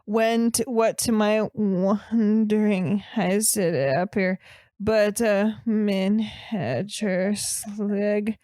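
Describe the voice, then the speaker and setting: A woman playing a dim, easily flustered young male student on a comedy podcast, reading aloud haltingly and stumbling over words. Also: medium pitch, steady tone, mellow, no room sound, studio quality. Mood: halting, earnest. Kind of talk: improvised comedy podcast character.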